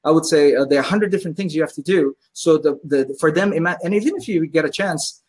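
Husky, excitable pizzeria owner. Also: male voice, on the high side, quick pace. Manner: enthusiastic storyteller, acts out customers' voices. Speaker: pizzeria owner, podcast guest. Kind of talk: casual interview chat.

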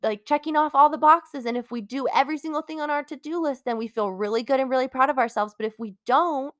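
A woman's voice: high voice, fast, no echo, clean studio sound. Congested woman podcasting solo, rambling. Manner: rambling, candid, encouraging. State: congested from a cold. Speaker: podcast host. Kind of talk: casual solo podcast talk.

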